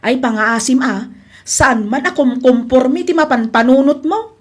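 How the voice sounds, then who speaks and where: Woman as scolding filipino friend, high voice, disbelieving, the sensible one in a comedy drama. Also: a little slow, slightly rough. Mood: disapproving, incredulous. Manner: scolding, incredulous. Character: sensible, disapproving female friend of a housemaid. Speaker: actor.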